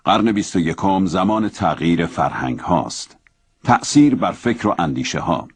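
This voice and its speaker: An actor playing a cool Western theorist of subversion, lecturing formally in a propaganda drama. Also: male voice, low-pitched, animated, breathy edge. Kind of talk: scripted propaganda film acting.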